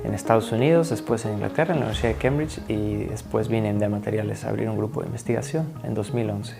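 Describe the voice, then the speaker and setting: A man with a Mexican Spanish accent, a researcher speaking in a measured, articulate way in an interview. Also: lowish voice, fairly even intonation, gravelly, over music. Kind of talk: interview answers.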